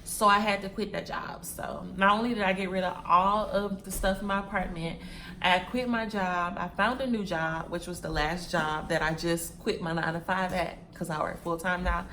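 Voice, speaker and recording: A woman's voice: lowish voice, fairly even intonation, moderate pace, sharp tone, slight background noise. A woman in her twenties chatting casually to her viewers, repeating phrases and calling them "y'all" and "sis" while she packs wigs. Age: 20s. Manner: packing wigs while talking. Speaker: vlogger.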